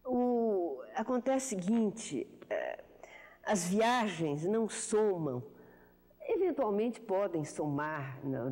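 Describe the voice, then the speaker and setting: Woman in her fifties, mellow low-bright voice, measured and hesitant, lapel mic, reverberant television studio. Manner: measured, reflective, frequent hesitations and repetitions. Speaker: writer being interviewed. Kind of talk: thoughtful television interview answers.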